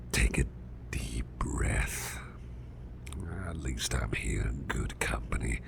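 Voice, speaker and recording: A man playing a big, strong, grumpy boss who is trying to stay calm, in a gravelly, breathy near-whisper for an ASMR roleplay. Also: very deep voice, exaggerated voice. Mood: agitated, restrained. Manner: slow, halting, murmured, restrained.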